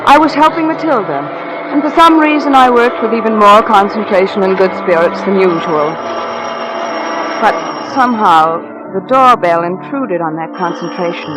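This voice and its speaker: A woman's voice: mid-range voice, natural voice, rough recording. An actress in a 1940s radio drama playing a devoted, anxious housewife who also narrates her own suspense story. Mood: confiding, uneasy. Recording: old radio recording with music.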